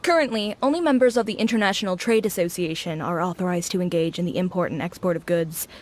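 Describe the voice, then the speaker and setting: A woman's voice: on the low side, quick pace, slight background noise, lightly acted. Formal female checkpoint officer, played by an actress, bureaucratic, faint ambience behind. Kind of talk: video game voice acting.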